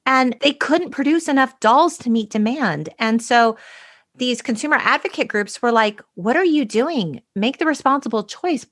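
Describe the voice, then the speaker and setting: A woman's voice: a little high, fairly bright tone. A middle-aged American woman co-hosting a podcast, telling stories in a wry, emphatic way, mixing casual chat with quoted articles. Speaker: podcast co-host.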